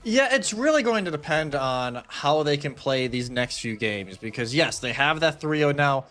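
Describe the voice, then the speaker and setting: A man's voice: fairly high pitch, expressive, moderate pace, a bit bright, faint music behind. A man giving casual esports match analysis and interviewing on a broadcast desk.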